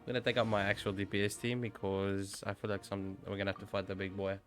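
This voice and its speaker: Low-pitched man streaming a game. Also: little pitch movement, fast, fairly bright tone. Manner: chatty, thinking aloud. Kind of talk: casual live game commentary. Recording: faint game music behind.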